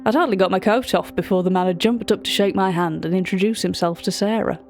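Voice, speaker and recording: An actress playing a chatty, wry British gallery writer, telling a story directly to a listener in audio drama narration. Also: female voice, medium pitch, fast, darkish tone, faint music behind, close to an everyday voice.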